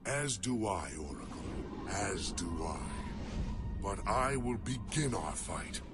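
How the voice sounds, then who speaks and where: A man's voice: low-pitched, sing-song, heavily acted. A man voicing a loyal alien warrior in a game cutscene, solemn, formal and resolute yet mournful. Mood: solemn resolve. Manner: solemn, formal, measured phrasing. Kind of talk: dramatic game cutscene acting. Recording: loud music underneath.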